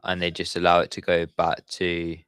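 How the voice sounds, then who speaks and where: Deep-voiced man calling football live. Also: monotone, moderate pace, a bit bright, clean tone, no echo. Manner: chatty, talks to viewers in the chat. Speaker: watchalong streamer. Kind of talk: live football commentary on a stream.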